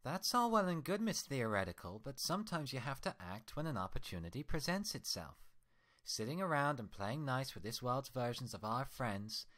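A man's voice: mid-range voice, very animated, moderate pace, a bit bright, voice pushed up. A man voicing the sarcastic, mocking mirror reflection of a female unicorn. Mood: cold dismissive. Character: sneering mocking mirror twin of a unicorn mare.

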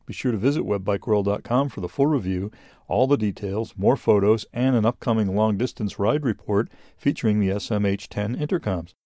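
Low, mellow man narrating a product video. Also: a little fast, dry recording, studio quality.

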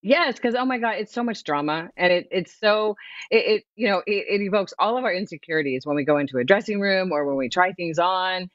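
A woman's voice: fairly low pitch, a little fast, dry recording. Businesswoman on a dull video call. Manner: lively, chatty, laughs easily. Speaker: swimwear brand founder, guest. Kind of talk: promotional interview chat. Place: home room, remote video call.

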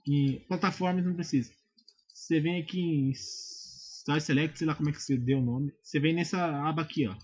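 A Brazilian man giving a casual, informal screen-recorded software tutorial, often checking that the listener follows. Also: on the high side, steady tone, fairly quick, husky, lo-fi sound. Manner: informal, repetitive check-in phrases. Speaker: tutorial maker. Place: indoors at a computer.

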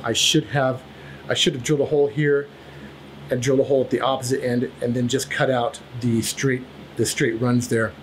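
A man's voice: medium pitch, slight background noise, low-quality recording. A man in his thirties hosting a casual woodworking vlog in his home shop, talking with many fillers and restarts, recorded by a camera a few feet away. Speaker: woodworking channel host.

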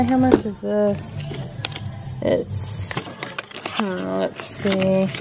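A middle-aged woman, filming a chatty shopping vlog in a home decor store and gushing over cute items on a handheld camera. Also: medium pitch. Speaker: vlogger. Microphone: handheld camera mic.

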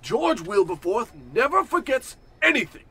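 A man's voice: very high-pitched, very animated, normal speed, bright, forceful tone, breathy edge, heavily acted. A man acting a pompous, indignant and forgetful wealthy businessman in a blustering, theatrical old-time radio comedy style.

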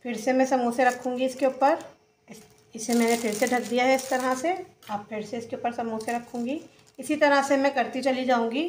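A woman with a Pakistani Urdu accent explains a recipe step by step in a calm, methodical voice that sits a little high. Faint kitchen noise and sizzling run underneath. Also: normal speed. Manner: calm, methodical, narrates each step as she does it. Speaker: cooking channel host. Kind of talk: step-by-step cooking instruction.

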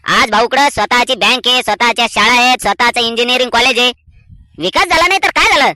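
Man doing a very high, piercing village father. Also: brisk, bright, forceful tone, heavily acted. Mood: sarcastic. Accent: rural Maharashtrian. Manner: sarcastic, folksy, repeats words for emphasis. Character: sharp-tongued, skeptical village father, a cartoon commoner. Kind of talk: cartoon comedy voice acting.

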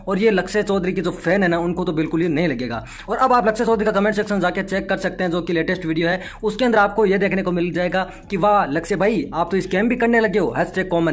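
Fast-talking, high-voiced man commentating. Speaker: YouTube commentator. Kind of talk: commentary addressed to viewers.

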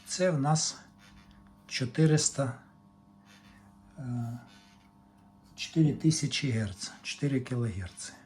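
Male hobby technician, unhurried, matter-of-fact, fragmentary hands-on commentary, slightly noisy home recording. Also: medium pitch, animated, a little mellow. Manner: matter-of-fact, talking in short fragments while working. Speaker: hobbyist repair technician presenting a demonstration. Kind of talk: unscripted technical demonstration, talking through measurements.

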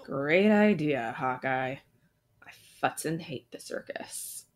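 A woman's voice: low-pitched, lively intonation, slow pace. A woman playing a sassy, stubborn young female archer sidekick with dry, snarky delivery. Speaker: voice actor in a fan motion comic. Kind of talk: comic-book dialogue acting.

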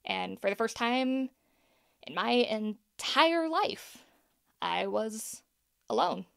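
An actress playing a young podcaster and scriptwriter, earnest and unsure of herself, talking in a conversational, hesitant way in a naturalistic audio drama. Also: female voice, on the high side, big pitch swings, slow pace, creaky, a bit bright, clean tone, no echo, clean studio sound, heavily acted. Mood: wistful, vulnerable. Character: Helena, young woman podcaster and scriptwriter, self-doubting, earnest.

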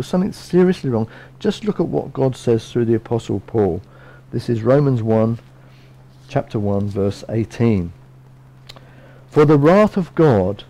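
A middle-aged man giving a sermon from a lectern in a small bright room, earnestly explaining and reading scripture verses aloud. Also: medium pitch, rough recording. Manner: measured, earnest, reads verses aloud from a book. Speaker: preacher.

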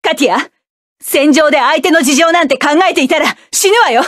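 A voice actress playing a proud young mech pilot in a game, shouting commanding, defiant battle lines. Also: female voice, sits high, brisk, dry recording, clean studio sound. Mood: grim. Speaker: mecha pilot character.

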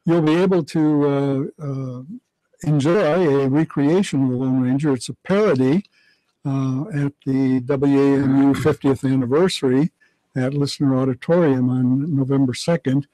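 A man's voice: mid-range voice, fairly even intonation, moderate pace, dark tone, dry recording, poor audio. An older American man talking conversationally as an expert guest in a radio studio. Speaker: radio historian, interview guest. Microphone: broadcast boom mic.

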